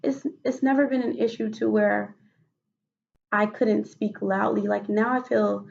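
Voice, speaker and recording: A woman with a raspy voice answering questions as a patient in a role-played clinical interview, hesitant with many fillers and restarts. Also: medium pitch, steady tone, a little slow, a little mellow, lo-fi sound. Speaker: mock patient in a role-play case study.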